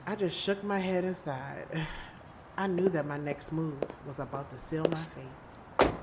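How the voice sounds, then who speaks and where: A woman's voice: low-pitched, steady tone, measured pace, very noisy. A woman playing a frank, defensive young woman who narrates her own love-triangle drama in an audio series, with music under her voice. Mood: reflective. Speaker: narrator and lead character. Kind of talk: audio drama acting with first-person narration. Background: background music.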